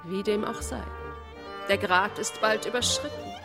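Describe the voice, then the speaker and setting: An actress as a slow, solemn female narrator. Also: medium pitch, steady tone, music in the background, close to an everyday voice. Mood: solemn, intense. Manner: measured, broken into deliberate phrases. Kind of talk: solemn, poetic radio play narration.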